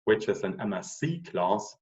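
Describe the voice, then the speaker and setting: A man's voice: fairly low pitch, unhurried, recorded from a distance, highs cut off. A man in his forties with a German accent, a professor giving a casual online lecture with frequent ums. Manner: relaxed, chatty, with frequent ums.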